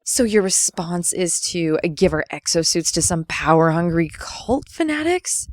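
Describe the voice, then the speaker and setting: A woman's voice: lowish voice, very animated, moderate pace, no echo. A woman playing a stern, ambitious military general in an audio drama, curt and commanding. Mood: suspicious. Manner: curt, commanding, sharp-tongued.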